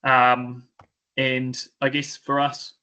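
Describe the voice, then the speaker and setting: A man with a New Zealand accent giving an informal presentation, often pausing mid-phrase in a hesitant way. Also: mid-range voice, completely flat delivery, sharp tone, clean tone, poor audio. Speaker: sheep and beef farmer, presenter.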